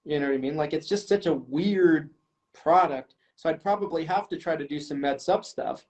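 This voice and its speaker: Self-deprecating man, slightly high voice. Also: normal speed, a little mellow. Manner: self-deprecating, thinking aloud with ums. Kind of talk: casual chat. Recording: slightly dull lo-fi audio.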